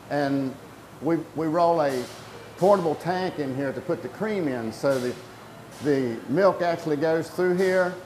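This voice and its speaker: A middle-aged man explaining a production process step by step in a plain-spoken interview style. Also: a little high. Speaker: creamery worker explaining production. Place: indoor creamery processing room with steel tanks. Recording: echoey room, loud equipment noise.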